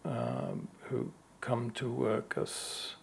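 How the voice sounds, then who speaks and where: A man from Sweden answering audience questions at a conference, slow and hesitant with many fillers. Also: medium pitch, flat delivery, creaky, slight background noise. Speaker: conference speaker.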